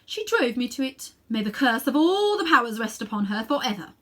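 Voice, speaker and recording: Imperious, ruthless sorceress queen, acted by a woman, swooping pitch. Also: very animated, fairly quick. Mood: indignant, self-justifying. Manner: declamatory, haughty, emphatic. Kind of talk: dramatic children's storybook reading.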